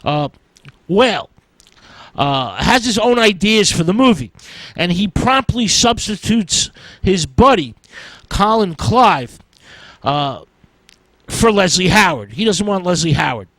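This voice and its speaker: A man hosting a film history show, telling the story in an informal lecture style, in halting, choppy short phrases. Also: sits high, very animated, fairly bright tone, no echo, poor audio.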